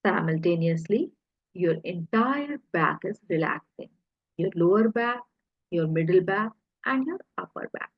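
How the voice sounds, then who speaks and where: A husky, breathy woman speaks very slowly as she guides a hypnosis session. Her delivery is soothing and deliberate, broken into short phrases with pauses. The sound is echoey and slightly muffled. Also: on the low side, animated. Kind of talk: guided hypnosis relaxation narration.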